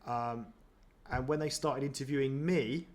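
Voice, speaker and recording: A man's voice: mid-range voice, fairly even intonation, unhurried. Chatty man reacting to a video. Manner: chatty and self-deprecating, many ums and restarts. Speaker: running vlogger. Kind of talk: casual reaction commentary.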